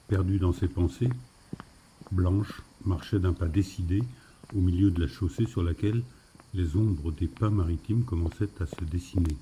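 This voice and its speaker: Male narrator for scripted fiction, very mellow and low, slight noise behind. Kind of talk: scripted literary story narration.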